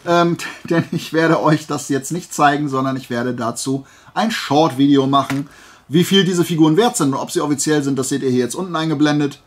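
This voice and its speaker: A man's voice: fairly high pitch, a little fast. A man chatting casually and playfully to camera as a vlogger, rambling through asides. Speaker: YouTuber showing flea market finds.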